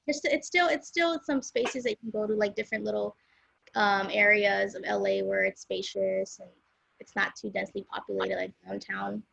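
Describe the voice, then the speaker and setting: A woman's voice: medium pitch, lively intonation, moderate pace, vocal fry, fairly bright tone, husky, lo-fi sound. A young woman from Los Angeles, a singer-songwriter, talking casually as an interview guest and rambling with many fillers. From South Central Los Angeles, USA.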